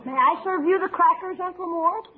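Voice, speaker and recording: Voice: very high voice, a little fast. A performer playing an earnest, obedient, overly polite young boy in a 1940s radio comedy. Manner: meek, over-polite. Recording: tinny noisy old radio, audience behind.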